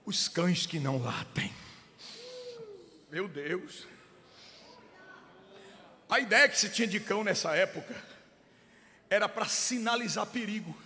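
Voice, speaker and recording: A middle-aged man from Brazil preaching a sermon. He moves between soft, chatty storytelling and loud, shouting preaching. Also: high voice, expressive, slow pace, pauses often. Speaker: pastor. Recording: long hall reverb.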